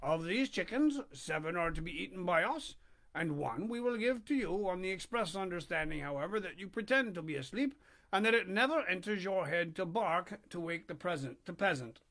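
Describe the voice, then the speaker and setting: A man voicing a scheming male polecat, speaking low and wheedling in a read-aloud storybook. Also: animated, normal speed, a bit bright.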